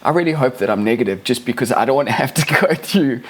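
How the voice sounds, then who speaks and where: A man hosting a casual podcast, husky and hoarse from a cold, chatty and laughing as he tells stories with many restarts. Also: mid-range voice, animated, fast. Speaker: podcast host.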